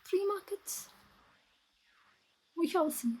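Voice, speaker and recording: Female vlogger, Pakistani accent, native Urdu speaker, high-pitched voice, halting think-aloud delivery for casual plan-with-me content. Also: animated, mellow. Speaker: vlogger and small business owner. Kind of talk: casual plan-with-me vlog talk.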